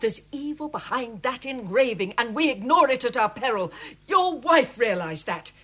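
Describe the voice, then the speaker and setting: A woman's voice: fairly high pitch, animated, normal speed, a bit bright. An actress playing an earnest gallery woman in a radio play, insistent and pleading. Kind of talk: radio drama acting.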